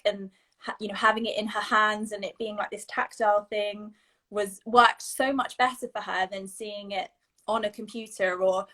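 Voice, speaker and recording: A woman's voice: medium pitch, a bit bright. A woman chatting casually as co-host, telling a story in loose, rambling phrasing.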